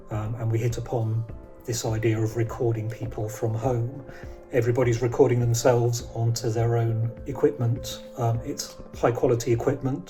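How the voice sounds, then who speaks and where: A man, an audio drama producer, explaining things informally to camera. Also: medium pitch. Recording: clear music under the voice. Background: background music.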